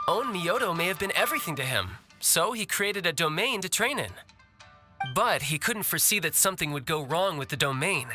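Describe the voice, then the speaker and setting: A man's voice: fairly high pitch, natural voice. A young man voicing a shrewd, cocky fighter in a video game, with a glib, teasing manner. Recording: music behind the voice.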